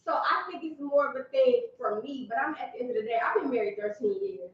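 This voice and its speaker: A woman's voice: a little high, fast talker. A woman in her late thirties co-hosting a podcast, talking candidly in casual chat and laughing easily. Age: 30s. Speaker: podcast co-host. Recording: echoey room, distant mic, dull sound.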